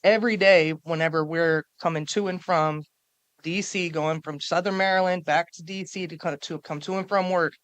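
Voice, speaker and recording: A woman speaking on a panel before a live audience in a small café, forceful and emphatic, stacking up points and repeating them for weight. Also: lowish voice, steady tone, moderate pace, no echo. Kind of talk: panel talk.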